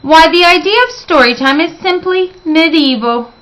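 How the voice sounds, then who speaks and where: A woman's voice: very high-pitched, normal speed, fairly bright tone, pitched above the performer's own voice. Woman putting on a haughty, theatrical storybook dragon lady. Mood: haughty scorn. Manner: theatrical, emphatic, imperious. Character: fierce, haughty female library dragon, grumpy and dramatic. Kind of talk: storybook read-aloud character voice.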